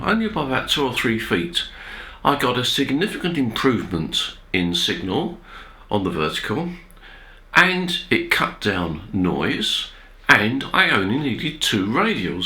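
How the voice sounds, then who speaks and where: A man presenting an informal technical explainer as a video host, speaking in a measured, hesitant way with pauses. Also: mid-range voice, bright, forceful tone. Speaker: amateur radio video host. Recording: roomy, echoey indoor sound.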